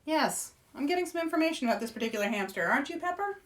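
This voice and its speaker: Animated high-pitched woman reading to kids. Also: racing, distant mic. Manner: playful, expressive, asks listeners questions. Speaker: children's author, story-time host. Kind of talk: animated read-aloud for children, chatting with young viewers.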